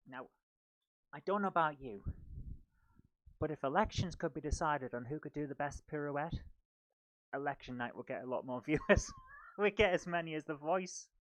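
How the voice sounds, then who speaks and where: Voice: mid-range voice, a bit bright, no echo, poor audio. A stand-up comedian hosting a live show in a rambling, self-deprecating manner. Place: small book-lined room at home.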